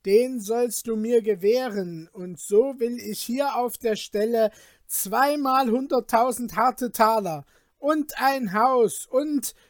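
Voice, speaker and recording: A reader voicing a reckless young man, newly rich and boastful, talking loudly and in agitation. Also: mid-range voice, slow pace, no room sound, clean studio sound, lightly acted.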